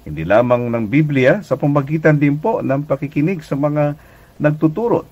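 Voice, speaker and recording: A man's voice: on the low side, darkish tone, lo-fi sound. A Filipino man preaching as a pastor and radio host, speaking conversationally and earnestly, exhorting listeners with polite address.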